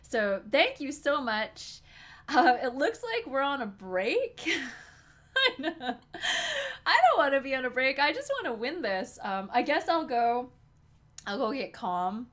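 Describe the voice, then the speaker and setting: A young woman with a higher, bright, forceful voice chats excitedly with her viewers from a desk indoors. Her voice has a slight hoarseness from talking for hours. She giggles often and speaks straight to her audience. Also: takes it slowly. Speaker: poker streamer. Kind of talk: live-stream commentary to chat. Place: small room indoors at a desk.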